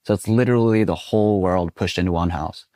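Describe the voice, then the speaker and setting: A young Swedish man with a fairly low voice talks in a relaxed, chatty way, full of "you know"s. His voice is close and dry, with no room sound at all. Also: brisk, dry recording. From Sweden. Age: 20s. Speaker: guest. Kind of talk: casual interview.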